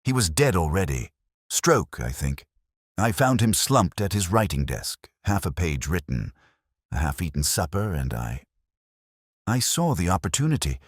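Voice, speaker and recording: A man voicing a cornered impostor in a detective audiobook, confessing defensively in terse, halting phrases. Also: low voice, sing-song, dry recording, clean studio sound, exaggerated voice. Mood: defensive confession.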